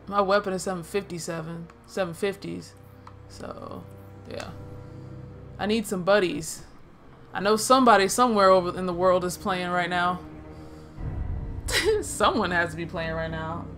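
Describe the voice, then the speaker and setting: A woman's voice: on the low side, lively intonation, measured pace, pauses often, a bit bright, faint music behind. A woman casually commenting on her own gameplay, chatty and laughing, swearing, and talking to herself. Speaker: let's play gamer.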